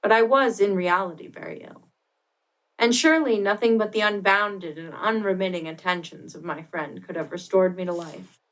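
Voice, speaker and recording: Female narrator, medium pitch, for classic literature; dramatic, measured, expressive read. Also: low-quality recording. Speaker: audiobook narrator.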